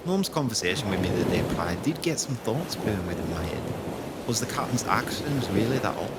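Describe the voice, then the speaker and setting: A man's voice: fairly low pitch, lively intonation, fairly quick, very noisy, lightly acted. A man playing a dutiful, down-on-his-luck Scottish sailor in an audio drama, guarded and reserved. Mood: brooding. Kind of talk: audio drama acting with first-person narration.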